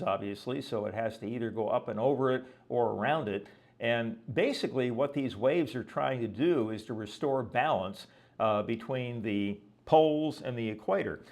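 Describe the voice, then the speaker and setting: A man presents an explanatory lecture in the manner of a teacher. His voice sits at a medium pitch, and he talks conversationally, walking through examples and checking that the listener follows. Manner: didactic, conversational, frequently checking with "okay?". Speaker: weather educator and presenter.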